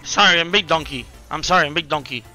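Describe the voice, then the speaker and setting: A young man streaming a game, excitably calling out short, repeated instructions to his teammates. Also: fairly high pitch, fast. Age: young adult. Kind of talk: live gaming commentary and team callouts. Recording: music behind the voice.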